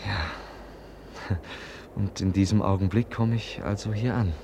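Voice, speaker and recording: An actor playing a gentle, sickly prince in a German radio drama, speaking politely and hesitantly in a subdued voice. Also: male voice, lowish voice, slow pace. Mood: reflective, hesitant. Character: gentle, sickly prince returning home from abroad. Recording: noisy radio play recording.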